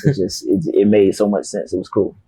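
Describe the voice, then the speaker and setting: A young man talks fast and loose, full of street slang. His voice is low-pitched and husky, with a dark, mellow tone. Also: little pitch movement. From Miami, Florida. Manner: laid-back, slangy, loose storytelling with profanity. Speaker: rapper guest. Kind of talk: casual interview chat.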